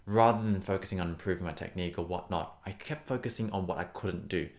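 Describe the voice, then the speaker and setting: A young man teaches singing in a casual, earnest way, speaking with a fairly low voice. He encourages the viewer and often repeats words to stress a point. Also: quick pace, darkish tone. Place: Australia.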